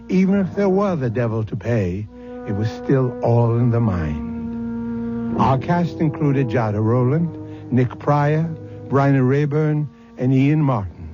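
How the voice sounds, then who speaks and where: A male host narrates a radio drama in a mid-pitched voice, measured and reflective with a theatrical touch. Music plays clearly behind him on a muffled old broadcast.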